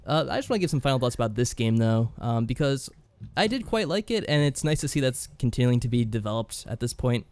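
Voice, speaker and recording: A man co-hosting a podcast, discussing games casually in a measured, mild-mannered way. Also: mid-range voice, big pitch swings, darkish tone, dry recording. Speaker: podcast co-host.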